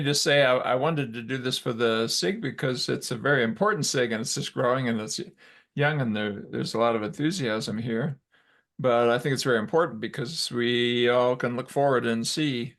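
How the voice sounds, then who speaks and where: A man's voice: medium pitch, normal speed, fairly bright tone. A male guest speaker giving an earnest, reflective talk over a video call.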